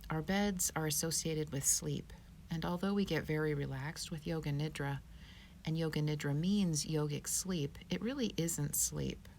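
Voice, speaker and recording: A woman teaching yoga, giving guided meditation instruction in a calm, soothing, unhurried manner. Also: low voice, vocal fry, slight background noise.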